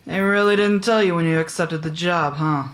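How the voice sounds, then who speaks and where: Woman acting a gruff, low-voiced female sheriff. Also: normal speed, natural voice. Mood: world-weary. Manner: blunt, dry, world-weary. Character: gruff, jaded small-town female sheriff. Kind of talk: audio drama acting.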